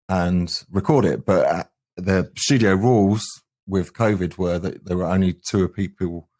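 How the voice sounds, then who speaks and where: Male musician, low voice, talking through his band's work in a conversational interview. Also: a little mellow. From England. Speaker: band frontman, singer and guitarist.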